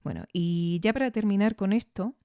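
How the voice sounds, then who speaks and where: Dark, mellow-voiced podcast reviewer, dry sound. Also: mid-range voice, smooth, no room sound. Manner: measured, didactic, questioning. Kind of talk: reflective spoken analysis.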